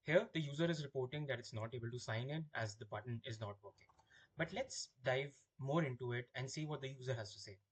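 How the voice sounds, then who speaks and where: A man with a slightly husky voice giving a software demo, explaining things step by step as a product demonstrator. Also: medium pitch, fairly quick.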